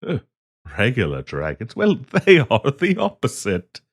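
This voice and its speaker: A man's voice: medium pitch, wildly swooping pitch, a little slow, full character voice. A man playing a polite, hesitant half-dragon diplomat in a fantasy roleplay audio, genteel and eager to please as he hosts the listener. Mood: patient explaining. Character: polite, flustered half-dragon diplomat hosting tea in his cave.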